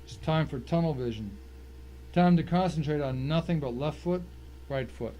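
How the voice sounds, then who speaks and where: A man's voice: on the high side, moderate pace, mellow, muffled recording, natural voice. A man playing a tense, determined man who narrates his own ordeal in a dramatic first-person story, with noise behind him. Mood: resolute, grim. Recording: clear background noise.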